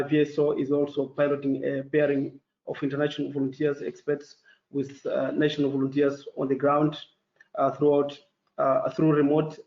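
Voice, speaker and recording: Man. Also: on the high side. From Mozambique. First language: Portuguese. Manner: careful, halting, with many fillers. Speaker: panelist. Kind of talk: formal webinar presentation. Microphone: video call. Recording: dull echoey video call audio.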